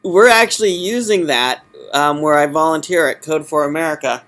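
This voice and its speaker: Guy in a job interview, voice a bit high, halting, keeps fixing his words. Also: fairly bright tone. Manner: halting, hesitant, self-correcting. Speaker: job candidate in user experience design. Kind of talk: phone job interview, informal answers.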